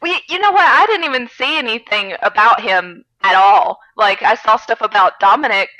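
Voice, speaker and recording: A woman's voice: a little high, lively intonation, moderate pace, sharp tone, no room sound, poor audio. A woman co-hosting a podcast, chatting casually about films with dramatic, exclamatory fan enthusiasm. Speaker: podcast co-host.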